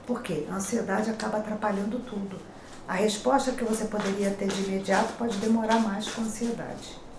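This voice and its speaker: A woman's voice: medium pitch, fairly even intonation, a little fast. Brazilian lady reading tarot horoscopes, rio accent, chatty and advising, roomy echo and faint hiss. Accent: Rio de Janeiro Portuguese. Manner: chatty, warns and advises, calls out each sign with lifted voice. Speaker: tarot reader and horoscope host. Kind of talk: daily horoscope reading to camera. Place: pink-walled room at a table with cards. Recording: echoey room, faint noise.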